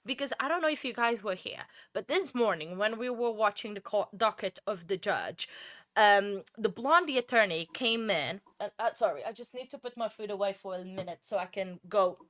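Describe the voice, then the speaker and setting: A woman's voice: mid-range voice, normal speed, fairly bright tone, dry recording, studio quality. A woman with a Portuguese accent hosting a true crime livestream, chatting loosely with viewers, full of fillers and restarts. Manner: rambling, chatty, many fillers and restarts, greets chat by name. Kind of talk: casual livestream chat with viewers.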